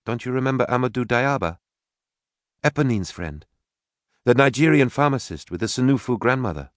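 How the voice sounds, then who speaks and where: A man's voice: medium pitch, lively intonation, moderate pace, no room sound, studio quality, voice pushed up, close to an everyday voice. Man voicing an eager, insistent young daughter. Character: earnest, persistent young woman, a caring daughter.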